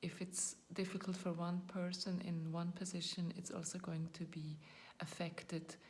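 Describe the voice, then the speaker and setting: Reflective woman in her forties, gravelly. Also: on the low side, flat delivery, normal speed, darkish tone, slight background noise. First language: not English. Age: 40s. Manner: reflective, searching for words, often trails into a whisper. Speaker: YouTube channel host. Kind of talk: personal talk to camera.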